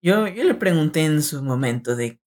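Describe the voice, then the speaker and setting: A man's voice: on the high side, lively intonation, normal speed, a little mellow. Young man giving advice. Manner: addresses listeners directly, gives advice. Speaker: podcast co-host. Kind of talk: casual advice chat.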